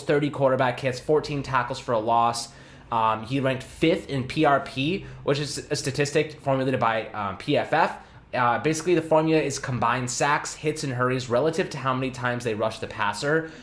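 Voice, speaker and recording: Young male co-host, casual and excitable, ordinary middle pitch, recorded in a reverberant room. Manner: excitable, laughs often, many fillers and restarts. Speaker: podcast host. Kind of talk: casual sports podcast chat. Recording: echoey room.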